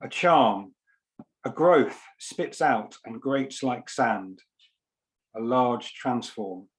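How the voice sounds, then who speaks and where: A man with a mid-pitched voice and a slow pace, speaking as a poet at an online literary event. His delivery is measured and deliberate, broken into short phrases with frequent pauses. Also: lively intonation, rough recording. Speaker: poet and co-author at a book launch. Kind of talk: informal explanation and poetry reading. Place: online event, on a video call.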